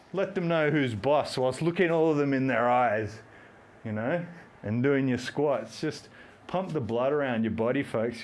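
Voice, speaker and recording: A man, hosting a fitness live stream, rambling and joking while he does squats and counts reps aloud. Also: medium pitch. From Australia. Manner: talks while doing squats.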